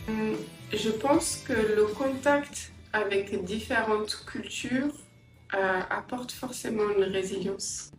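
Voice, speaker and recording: A middle-aged woman from Angola and England giving a personal interview, reflective and hesitant, often pausing mid-sentence. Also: mid-range voice. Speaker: interviewee, entrepreneur and language teacher. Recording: roomy echo, distant mic, faint music.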